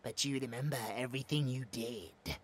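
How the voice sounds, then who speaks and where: A man's voice: medium pitch, moderate pace, no room sound, lightly acted. A man with a British accent playing a smug, cruel mad scientist in an audio roleplay, taunting, theatrical and clinically precise. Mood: smug, needling.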